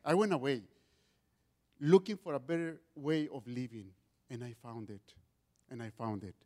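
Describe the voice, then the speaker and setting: A middle-aged man from Mexico with a Mexican accent, giving an earnest church testimony in a loud voice with many restarts. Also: medium pitch, moderate pace. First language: Spanish. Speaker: guest speaker giving testimony.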